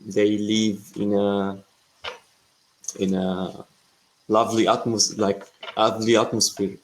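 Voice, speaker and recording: A man's voice: on the low side, darkish tone, poor audio. A young man from Syria, speaking English, who chats hesitantly on a video call with many fillers and restarts. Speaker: English learner. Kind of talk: casual video chat.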